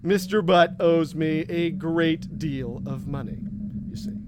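A man voicing a wealthy gangster who owns a gambling den, speaking politely with quiet menace. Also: on the high side, slow, a little hiss or hum. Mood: smooth. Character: rich male gangster casino owner, smooth and sly.

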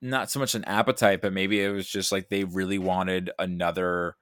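A man with a deep, low voice talks casually as part of a pop-culture discussion. He feels his way through sentences, often stopping to rephrase. The sound is very dry, with no room around it. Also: little pitch movement, moderate pace, fairly bright tone, clean tone, no room sound. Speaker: podcast guest.

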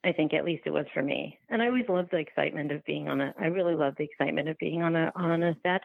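Low-voiced woman on a phone-like line. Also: vocal fry, breathy edge, no echo. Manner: halting, thinking aloud, frequent rephrasing. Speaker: guest, documentary filmmaker. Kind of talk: reflective podcast interview conversation.

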